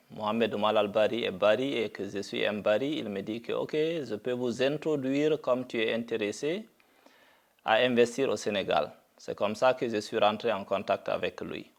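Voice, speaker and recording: A middle-aged man from Guinea making a deliberate public statement, speaking haltingly with frequent pauses and fillers. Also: mid-range voice. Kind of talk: slow, deliberate video statement.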